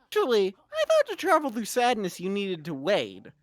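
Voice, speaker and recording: A woman's voice: medium pitch, wildly swooping pitch, normal speed, dry recording, studio quality, faint music behind. A woman making casual, joking commentary as a co-reader on a story-reading stream. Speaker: co-reader performing character lines.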